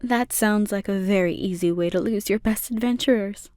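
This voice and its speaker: A woman voicing a gentle, caring slime monster girl with playful teasing, in a soft, intimate ASMR roleplay delivery. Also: mid-range voice, moderate pace, dry recording, lightly acted. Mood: incredulous teasing.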